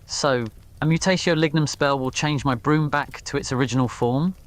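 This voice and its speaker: A man's voice: medium pitch, moderate pace, a bit bright, lightly acted. A man voicing a haughty, mocking young male wizard student in a roleplay audio story. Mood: curious, impressed. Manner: mocking, curt, murmuring.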